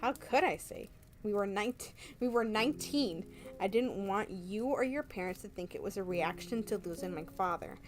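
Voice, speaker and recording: An earnest, tender-hearted young man as a woman reads him pours out his gratitude and love in a romance novel. The delivery is heartfelt, and music plays clearly behind the words. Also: mid-range voice, moderate pace, lightly acted. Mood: tender, sincere. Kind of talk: romance novel read aloud.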